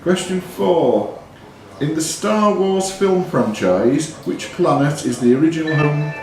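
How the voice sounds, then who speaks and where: Lively quiz master, echoey pub. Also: male voice, a little high, unhurried, background noise. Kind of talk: reading quiz questions aloud and ruling on answers. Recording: very echoey room with pub crowd.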